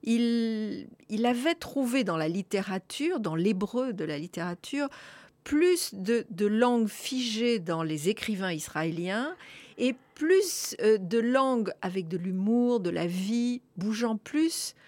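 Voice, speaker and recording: A woman's voice: mid-range voice, animated, takes it slowly, darkish tone, no room sound. A woman hosting a radio discussion, explaining ideas thoughtfully with occasional hesitations. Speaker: radio host.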